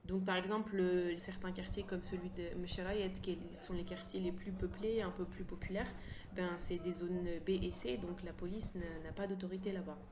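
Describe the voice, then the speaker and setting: A woman in her thirties from France explains things informally as an interview guest, halting often and repeating words. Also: lowish voice, fairly even intonation. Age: 30s. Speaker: interview guest, sociology researcher. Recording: roomy echo, background noise.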